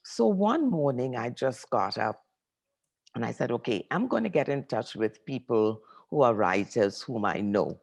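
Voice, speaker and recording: An older woman from Trinidad, a professor and writer, telling her story in an interview in a reflective, unhurried way, repeating phrases for emphasis. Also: extremely low pitch, very animated, creaky, darkish tone. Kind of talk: conversational storytelling.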